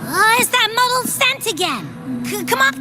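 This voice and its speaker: High, cutesy little creature companion. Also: female voice, big pitch swings, moderate pace. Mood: curious, alert. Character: small, sleepy, playful female creature companion with a keen nose. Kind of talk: video game voice acting. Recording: loud music under the voice.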